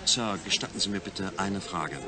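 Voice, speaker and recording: An actor playing a polite, formal waiter in an audio drama. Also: male voice, deep voice, moderate pace, over music, natural voice. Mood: deferential. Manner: deferential, formal. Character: polite, formal waiter man serving drinks. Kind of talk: German audio drama dialogue.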